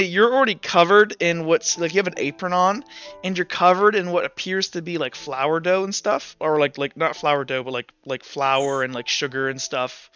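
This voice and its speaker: High, bright-voiced young man running a game. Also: clean tone. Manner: rambling with many fillers and restarts. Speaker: game master. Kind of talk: narrating a tabletop game. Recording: dull lo-fi sound with faint music.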